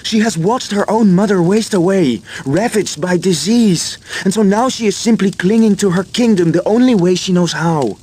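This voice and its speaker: A man plays a guilt-ridden inventor in a video game story, pitched noticeably high for a male. He speaks earnestly and apologetically, owning up to betrayal. Faint ambient noise sits behind him. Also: moderate pace, hardly any pauses, darkish tone, natural voice. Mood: sombre, sympathetic. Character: remorseful male inventor who betrayed his friends. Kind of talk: video game cutscene acting.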